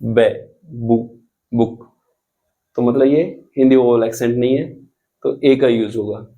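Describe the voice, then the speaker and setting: A man teaching a grammar lesson, explaining rules slowly and spelling out examples, often asking the students questions. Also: medium pitch, fairly even intonation, mellow. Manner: slow, deliberate, repeats and spells out examples.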